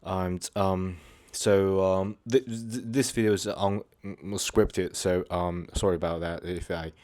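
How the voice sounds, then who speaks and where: Very low-voiced man talking haltingly. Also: steady tone, darkish tone, dry recording, clean studio sound. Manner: halting, hesitant, many fillers and restarts; sincere, earnest. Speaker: channel host. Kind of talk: unscripted personal talk to viewers.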